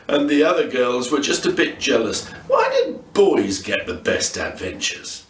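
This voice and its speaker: Male storyteller, animated and fairly high in pitch, expressive children's narration, recorded roomy and distant. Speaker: storyteller reading aloud. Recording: roomy echo, distant microphone.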